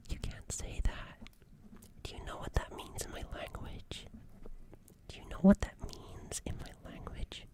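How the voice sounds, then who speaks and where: A performer voicing a gentle, apologetic alien medic in a whispered ASMR roleplay, hesitant and halting, softly repeating phrases. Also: lightly acted. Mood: flustered, concerned. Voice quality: breathy whisper.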